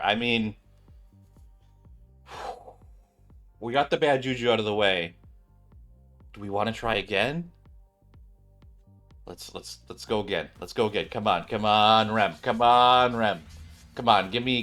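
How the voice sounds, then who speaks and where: Low-voiced man doing casual game commentary. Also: moderate pace, pauses often. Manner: excitable, exclamatory, repeats phrases. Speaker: gaming channel host. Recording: music behind the voice.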